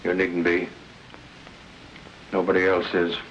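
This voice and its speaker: Low, bitter, menacing recluse. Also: male voice, monotone. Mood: bitter, guarded. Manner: coldly formal, measured. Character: reclusive, bitter, menacing fire-scarred divorced man. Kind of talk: 1940s-50s radio mystery acting. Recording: noisy old radio recording.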